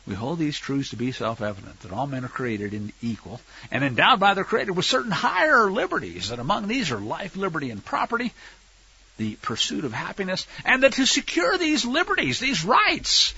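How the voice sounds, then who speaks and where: An American man with a husky voice preaching a Bible lesson in an emphatic, sarcastic way, full of rhetorical questions. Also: a little high, very animated, brisk, pushed and bright, highs cut off. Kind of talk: sermon, Bible teaching with political commentary.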